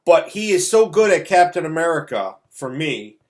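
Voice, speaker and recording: A man's voice: on the high side, big pitch swings, moderate pace, a little mellow. A middle-aged man hosting a casual movie review, ranting in a blunt, scornful, exasperated way. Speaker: host of a movie review show. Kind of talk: casual opinionated movie rant.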